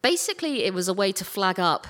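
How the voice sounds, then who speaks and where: A woman's voice: medium pitch, big pitch swings, fast, a bit bright. A British woman speaking informally and gushingly as an interview guest, telling casual anecdotes and acting out bits of imagined dialogue. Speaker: guest, audio drama creator. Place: live podcast festival stage with audience.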